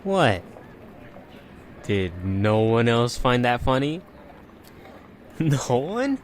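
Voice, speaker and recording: A man's voice: mid-range voice, big pitch swings, drawn-out words, a little hiss or hum. A man playing a goofy, mocking teenage boy training as a hero in an audio drama. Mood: teasing.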